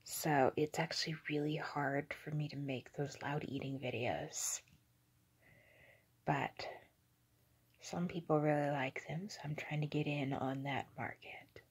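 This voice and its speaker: A young adult woman giving a whispered, halting ASMR monologue in a breathy voice, recorded indoors on a phone held at arm's length. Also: low-pitched, fairly even intonation. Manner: slow, hesitant, halting. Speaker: ASMR video creator. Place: small indoor room with curtains.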